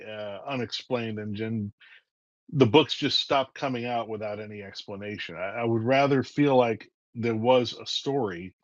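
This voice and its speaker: A man's voice: medium pitch, no room sound. A man being interviewed as a writer, telling stories in a thoughtful, wry way with some restarts, over a remote call. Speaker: writer guest. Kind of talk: conversational interview. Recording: dull dry lo-fi audio.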